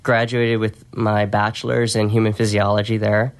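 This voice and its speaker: Quiet, low-voiced man telling stories. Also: level tone, moderate pace. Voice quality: quiet, soft-spoken. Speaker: podcast guest, strength and conditioning coach. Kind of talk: conversational interview storytelling.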